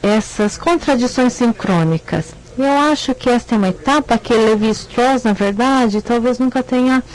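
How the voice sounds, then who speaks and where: A lecture interpreter with a middle-pitched voice. The speech is hesitant and halting, often stopping to correct a word before moving on. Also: rough recording. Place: lecture hall.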